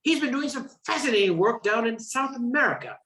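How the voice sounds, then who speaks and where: A woman's voice: a little high, animated, brisk, a bit bright, a little hiss or hum. An older woman playing a brusque, easily flustered young male professor in an amateur radio play, with a stiff, indignant manner. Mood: brisk, insistent. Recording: roomy, echoing sound.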